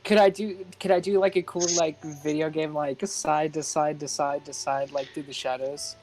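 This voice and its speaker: A woman's voice: sits low, steady tone, normal speed, darkish tone, faint music behind. A woman playing a tabletop roleplaying game, casually and a little hesitantly describing her character's actions in the third person.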